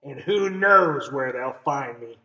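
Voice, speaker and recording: A man's voice: mid-range voice, lively intonation, slow pace, pushed and bright, lo-fi sound. A man voicing a jealous, scornful little girl mouse from a picture book, theatrical and exaggerated. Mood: dramatic warning. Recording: roomy, echoey room sound.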